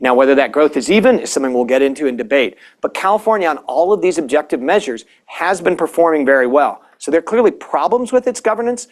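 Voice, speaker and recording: A man speaking on a panel, laying out statistics in a steady argument with frequent small restarts. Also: fairly high pitch. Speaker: panelist presenting policy data. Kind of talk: panel talk.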